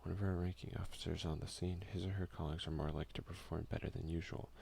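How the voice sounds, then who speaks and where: A man doing a soft-spoken, unhurried ASMR game playthrough, reading text aloud with casual asides. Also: deep voice, level tone, creaky, slight background noise. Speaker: let's play streamer.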